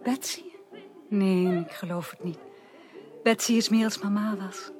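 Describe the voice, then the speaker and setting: An actress playing a melancholy, earnest young upper-class woman in a Dutch radio drama, speaking formally and softly. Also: medium pitch, measured pace, a crowd in the background, close to an everyday voice. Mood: sombre, weary. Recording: radio drama with music underneath.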